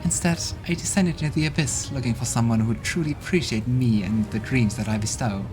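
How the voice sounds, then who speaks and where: A man's voice: mid-range voice, brisk, natural voice. A man voicing an arrogant, haughty female demon who talks with contempt, over game music.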